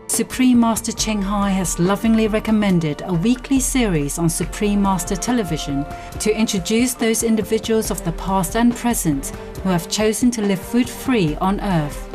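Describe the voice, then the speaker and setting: Female narrator, soft devotional read, mid pitch, music bed underneath. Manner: gentle and devotional. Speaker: program narrator. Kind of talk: scripted narration.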